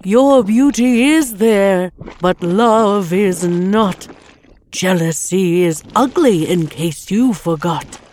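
A woman's voice: medium pitch, darkish tone, slight background noise, close to an everyday voice. A woman voicing an angry magic water spirit in a storybook, scolding in declamatory proclamations. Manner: slow, declamatory rhyming proclamation. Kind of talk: storybook character voice.

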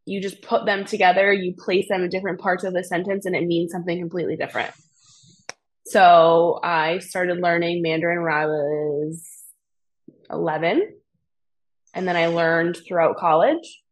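Young American woman with a slightly low, husky voice. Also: fairly even intonation, normal speed, poor audio. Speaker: podcast guest, fitness coach. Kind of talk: casual conversational storytelling.

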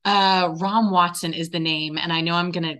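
Woman director chatting in an interview, bright crisp edge to her voice, a bit on the low side. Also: steady tone, moderate pace. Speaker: interview guest, theatre director. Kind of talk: casual conversational interview.

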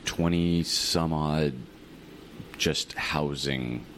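A man co-hosting a podcast in casual chat, his voice a bit raspy from shouting, laid-back. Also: rumbling low, very slow, a little hiss or hum, poor audio. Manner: relaxed, laid-back banter. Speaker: podcast co-host.

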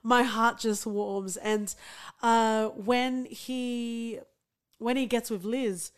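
Young woman podcast cohost, chatty, lots of ums and laughs, kinda high voice. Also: steady tone, drawn-out words, clean tone. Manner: chatty, laughs often, many fillers. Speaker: podcast co-host. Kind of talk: casual chat about a TV show.

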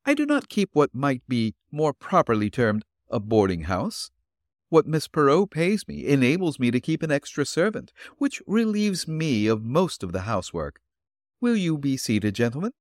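A man voicing an elderly, refined and gentle landlady in a raised, polite voice. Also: sing-song, moderate pace, no echo, studio quality, lightly acted. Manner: polite, flustered, high put-on voice. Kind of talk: audiobook character reading.

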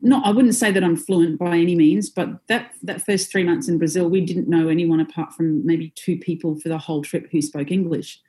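A woman who sings in a band, talking in an interview in a reflective, easygoing way, with frequent pauses and small laughs. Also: fairly low pitch, steady tone, warm tone. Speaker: singer in a band, guest. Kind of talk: conversational interview.

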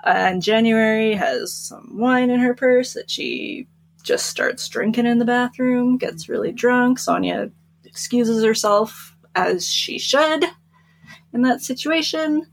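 A woman's voice: fairly high pitch, slow, slight background noise. A giggly, chatty woman co-hosting a book podcast and casually recapping stories.

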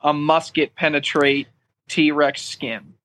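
A man's voice: a little high, little pitch movement, slow pace, fairly bright tone. A man chatting casually as a guest on a podcast. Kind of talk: casual chat.

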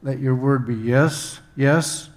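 A man's voice: mid-range voice, steady tone, slow pace. A man reading scripture aloud in a careful way. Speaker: volunteer scripture reader.

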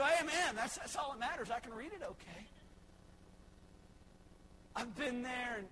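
A young man preaching a sermon, swinging from shouted exhortation to soft, folksy asides. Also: high-pitched, a little hiss or hum, poor audio. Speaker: pastor.

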